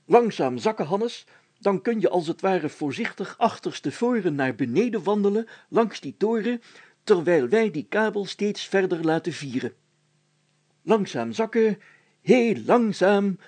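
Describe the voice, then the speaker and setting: A man's voice: high voice, expressive, unhurried, darkish tone, pitched above the performer's own voice. A man voicing a pompous, solemn village mayor in a storybook, speaking in a formal, declamatory and long-winded way. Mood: stern, commanding. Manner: pompous, declamatory, formal, stammering when flustered.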